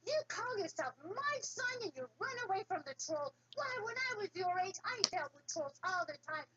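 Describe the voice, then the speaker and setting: A sixty-year-old father scolds his sons and brags about his youth, as a woman storyteller puts him on. He is scornful and proud, with a fairly high, lively voice. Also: normal speed, dull audio, noisy background. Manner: scolding, boastful.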